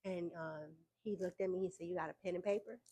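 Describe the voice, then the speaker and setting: A young adult woman, telling her story as an interview guest in a casual, relaxed way with fillers and easy laughs. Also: lowish voice, fairly quick. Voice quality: soft voice. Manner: relaxed, frequent fillers, laughs between points.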